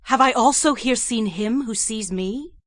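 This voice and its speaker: A humble, troubled runaway maidservant in a Bible audio drama. She speaks in a fairly high voice with earnest, dramatic feeling. The sound is close and free of echo. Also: a little slow. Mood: awed. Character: Hagar, distressed runaway Egyptian maidservant.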